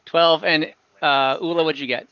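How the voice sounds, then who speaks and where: A man's voice: a little high, fairly even intonation, normal speed, clean tone, no room sound, lo-fi sound. A man running an online tabletop game as game master, talking in a casual, informal way.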